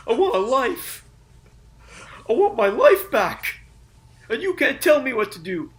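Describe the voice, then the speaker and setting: A man voicing a desperate office worker who rants and yells near tears, in a comedy sketch. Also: high-pitched, full character voice. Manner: yelling, ranting, near tears. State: shouting. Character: fed-up young male office worker, desperate, exploding. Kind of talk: comedy voice acting.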